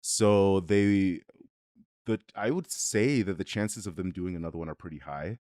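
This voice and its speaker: A man with a fairly deep voice hosts a casual review conversation. His delivery is informal and hedging, as if thinking opinions through aloud. The sound is dry, with very little room in it. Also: normal speed, darkish tone, no echo. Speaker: video review host. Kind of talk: casual movie review chat.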